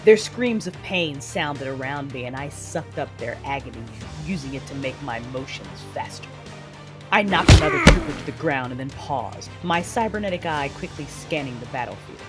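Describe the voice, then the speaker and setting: Actress as cold warrior woman over loud music. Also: a bass voice, sing-song, moderate pace. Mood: cold menace. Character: cold, cruel cyborg warrior mother commanding troops with lightsabers. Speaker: narrator of own story in audio drama. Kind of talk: dramatic first-person narration and acting. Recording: music bed behind voice.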